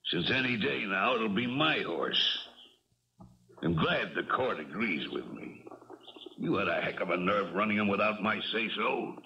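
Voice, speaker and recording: An actor playing a sneering, hard-nosed creditor with a contemptuous, bullying manner in a 1970s radio drama. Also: male voice, on the low side, big pitch swings, a little slow, gravelly, exaggerated voice. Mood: sneering, gloating. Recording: muffled old radio broadcast, slight noise.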